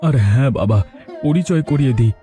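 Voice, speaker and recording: Cartoon landlord, male actor: hospitable, effusive, soft-toned, music underneath. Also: mid-range voice, expressive, brisk, lightly acted. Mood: effusive, welcoming. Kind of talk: Bengali cartoon voice acting.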